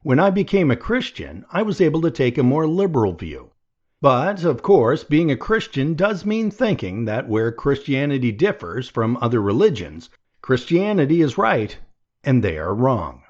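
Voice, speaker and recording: A man reading a nonfiction audiobook aloud in a measured, deliberate way. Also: medium pitch, very animated, darkish tone, highs cut off. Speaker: audiobook reader.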